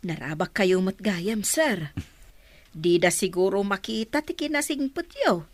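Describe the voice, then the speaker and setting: Radio drama mother: older provincial woman, Ilocano accent, welcoming, mid pitch with lively swings. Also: slow, no echo. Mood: affectionate. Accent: Ilocano Filipino.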